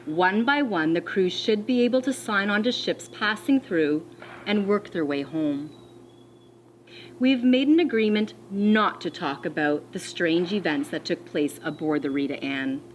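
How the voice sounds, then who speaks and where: A woman schoolteacher reading a children's storybook aloud, expressively and deliberately, with dramatic pauses. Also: fairly low pitch, animated, a little hiss or hum. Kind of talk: read-aloud of a children's storybook with teacher asides.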